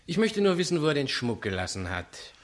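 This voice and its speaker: A man's voice: on the high side, big pitch swings, a little fast. An actor playing a persistent man who asks pointed, ironic questions, in a radio drama. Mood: probing. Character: persistent, probing man challenging a police inspector. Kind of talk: German radio drama acting.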